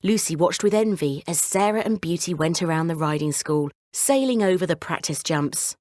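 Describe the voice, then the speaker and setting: A woman narrating a scripted children's bedtime story in a measured, clearly phrased way. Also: on the low side, lively intonation, a little mellow, no echo, clean studio sound.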